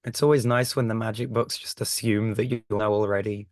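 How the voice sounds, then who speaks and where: A man co-hosting a podcast with a croaky voice from recent illness, chatting in a wry, thoughtful way. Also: fairly low pitch, steady tone, a little fast, no echo, clean studio sound. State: croaky voice after a chest infection. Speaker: podcast co-host. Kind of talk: casual podcast chat.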